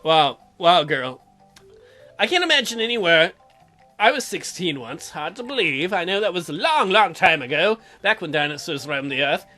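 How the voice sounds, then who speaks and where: Lively let's player reading a visual novel, bright voice, teasing asides, faint music. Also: mid-range voice, normal speed. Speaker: let's play host. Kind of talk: gameplay commentary with dramatic read-aloud.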